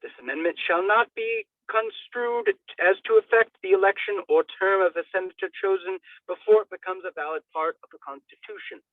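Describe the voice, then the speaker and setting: A man's voice: high voice, brisk, few pauses, no echo. A man giving a dramatic, declamatory reading of a formal legal document, with emphatic swells. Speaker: reader. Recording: thin, phone-like band-limited sound.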